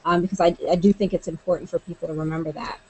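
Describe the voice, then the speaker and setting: A woman's voice: on the low side, little pitch movement, racing, slight background noise, poor audio. Earnest woman with lots of "you know". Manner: earnest, talkative, many fillers like "you know" and "um". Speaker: college staff member, guest. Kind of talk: conversational discussion.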